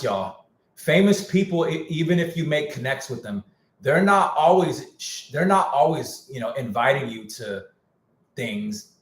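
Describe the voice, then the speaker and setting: Guy on a livestream losing his voice, slangy, repeats himself, roomy echo. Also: medium pitch. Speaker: music producer live streaming. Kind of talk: casual livestream rant.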